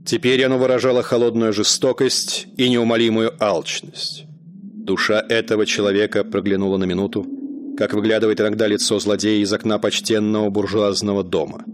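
A man narrating a short story in measured storybook style for a radio play. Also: fairly low pitch, flat delivery, slight background noise. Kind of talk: scripted literary narration.

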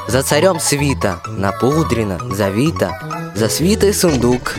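A man's voice: mid-range voice, very animated, measured pace, over music. A man playing a jaunty folk storyteller, reciting rhymed narration in a radio fairy tale. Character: jaunty folk storyteller announcing the tsar's procession.

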